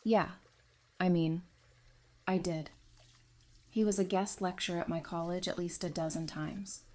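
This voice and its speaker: A woman acts out an empathetic widowed survivor in an audio drama. Her voice sits a little low, and she speaks with gentle sympathy. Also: fairly even intonation, unhurried, close to an everyday voice. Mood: earnest. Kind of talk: fan audio drama acting.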